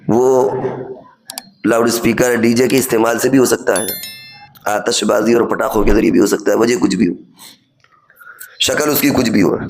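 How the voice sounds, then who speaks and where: Male cleric, medium pitch, instructive Friday sermon delivery, reasoned and explanatory. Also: low-quality recording. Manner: didactic, reasoning step by step with examples and rhetorical questions. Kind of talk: sermon, explanatory religious lecture.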